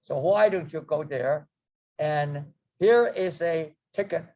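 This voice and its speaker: A man, telling his life story to a group, rambling and halting with many fillers and restarts. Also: a little high, darkish tone. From China. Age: 90s. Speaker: guest speaker. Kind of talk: storytelling memoir.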